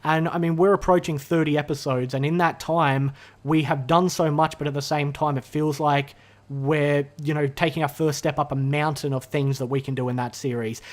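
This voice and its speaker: Slightly hoarse, chatty man rambling. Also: a little high, fairly even intonation, normal speed. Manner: rambling, chatty, many fillers and self-corrections. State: slightly hoarse from being sick. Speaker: gaming YouTuber. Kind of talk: unscripted casual vlog ramble. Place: his own room, indoors. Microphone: talking to a camera.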